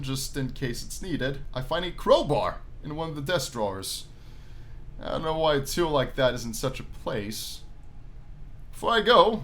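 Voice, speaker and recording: Higher-voiced man doing a let's-play. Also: a bit bright. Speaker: let's-play host reading a visual novel aloud. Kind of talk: reading game text with casual asides.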